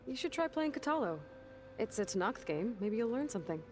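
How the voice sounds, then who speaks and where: An actress playing a guarded, determined young warrior heroine in a video game, reserved and matter-of-fact. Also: female voice, on the high side, sing-song, brisk. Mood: dry, friendly. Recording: music under the voice.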